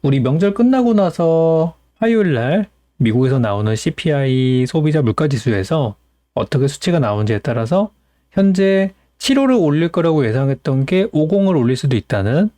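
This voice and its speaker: A man's voice: medium pitch, mellow, no room sound. A man hosting as a stock market analyst, explaining things in an informal, conversational commentary style.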